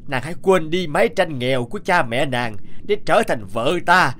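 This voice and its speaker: A man voicing a menacing, lecherous sea god in an animated fairy tale, gloating and commanding. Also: fairly high pitch, moderate pace. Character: menacing, lecherous male sea god holding a girl captive.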